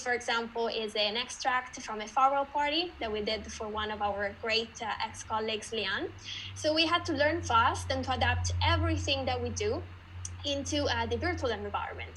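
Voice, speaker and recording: Bright-voiced Italian woman presenting. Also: a little high, a little hiss or hum, rough recording. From Italy. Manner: lively, chatty, frequent "uh" fillers. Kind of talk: online meetup presentation.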